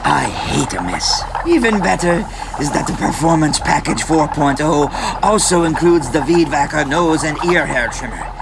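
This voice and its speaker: An actor playing a pompous, earnest pitchman who speaks in formal, grandiose, slightly awkward phrases in a comic ad. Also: animated, normal speed, creaky, few pauses, very noisy. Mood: grandly enthusiastic. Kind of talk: comic scripted ad read in character.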